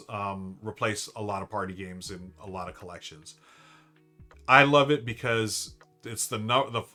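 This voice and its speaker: A man with a lowish, bright voice chats casually on a live stream, rambling with fillers and quick restarts. Faint music plays behind him. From United States. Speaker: board game streamer ranking monthly games.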